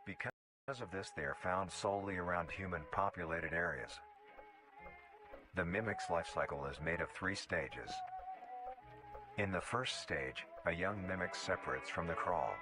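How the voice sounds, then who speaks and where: A man reading scripted narration in the style of a nature documentary, formal, measured and matter-of-fact. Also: sits low. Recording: music behind the voice. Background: clearly audible music.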